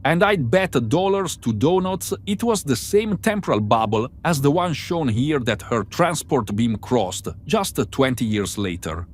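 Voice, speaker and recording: A man's voice: medium pitch, sing-song, a little slow, few pauses, slight background noise. A man playing an analytical starship crew member in an amateur sci-fi drama, explaining things precisely and methodically. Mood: eager, reasoning.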